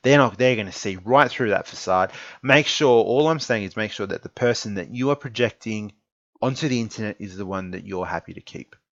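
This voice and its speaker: A man's voice: lowish voice, a little fast, no echo, dull audio. An Australian man of twenty-nine giving an informal opinion monologue, earnest and emphatic, repeating phrases for stress. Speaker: esports content creator giving an opinion piece.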